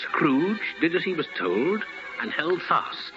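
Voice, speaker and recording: A man's voice: fairly high pitch, very animated, slow pace. A man narrating a Christmas tale as a theatrical 1950s radio storyteller. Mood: vivid storytelling. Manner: theatrical, relishing lists. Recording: dull old radio recording with music.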